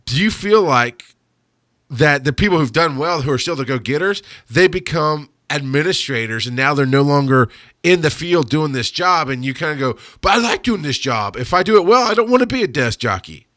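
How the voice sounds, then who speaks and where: A man in his thirties hosting a podcast, chatty and quick to laugh. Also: fairly high pitch, lively intonation, a bit bright, no echo, clean studio sound. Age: 30s. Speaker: podcast host. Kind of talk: casual podcast chat.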